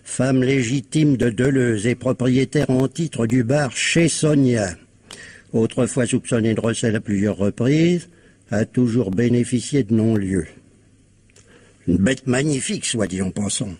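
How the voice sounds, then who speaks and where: A man's voice: medium pitch, measured pace, warm tone, breathy edge. An actor playing a world-weary, sardonic policeman in a radio crime drama, talking in a slangy, clipped, colloquial way. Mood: sardonic briefing. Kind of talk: 1950s-style French radio crime drama acting.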